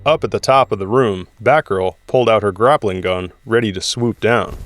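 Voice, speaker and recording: A man's voice: on the low side, moderate pace, no room sound. A man reading scripted, dramatic narration for an audio drama. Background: music score.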